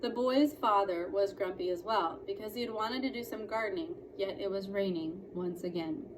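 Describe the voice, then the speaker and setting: A woman reads a children's story aloud as narrator. Her pitch is medium, and there is clear noise in the recording. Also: normal speed. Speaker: reader of a children's novel. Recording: clearly noisy room recording.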